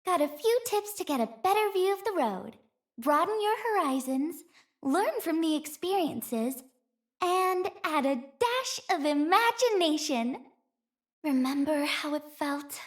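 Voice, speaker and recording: Very high-pitched, dreamy young courier girl. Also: a little slow. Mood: encouraging. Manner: playful, airy, sweetly expressive. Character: cheerful, romantic, dreamy young female courier. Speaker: video game voice actor. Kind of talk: game character voice lines.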